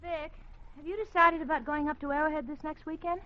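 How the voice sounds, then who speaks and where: A woman's voice: sits high, steady tone, fairly quick, lightly acted. A woman playing a breezy, affectionate, well-off young wife in an old radio drama. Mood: concerned. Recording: dull, noisy old radio recording.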